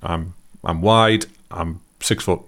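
Deep-voiced earnest man. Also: fairly even intonation, slow pace, a bit bright. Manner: thoughtful, earnest, rambling with restarts. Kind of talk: casual chat.

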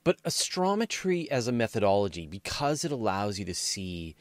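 Low-voiced male interviewer, dry close sound. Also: big pitch swings, normal speed, no echo. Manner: informal, thinks aloud in long rambling questions. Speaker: interviewer, host. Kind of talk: casual conversational science interview.

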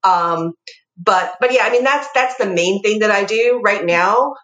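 A woman's voice: medium pitch, measured pace, fairly bright tone. A woman lawyer, a podcast guest, talking in a chatty, nerdy way with light laughs. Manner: chatty, self-described nerd, laughs lightly. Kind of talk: casual podcast interview. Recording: echoey room.

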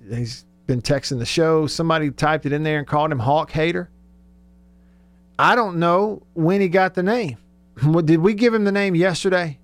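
Casual male sports radio host, higher-pitched voice. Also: no echo.

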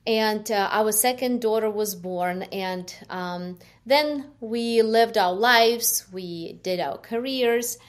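Middle-aged Ukrainian-accented woman, slow and soft-spoken interview guest sharing her story. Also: medium pitch. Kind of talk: personal testimony interview.

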